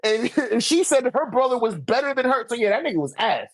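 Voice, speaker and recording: Animated guy arguing in heavy slang. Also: very high voice, quick pace, no echo. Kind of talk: casual slangy debate about manga rankings.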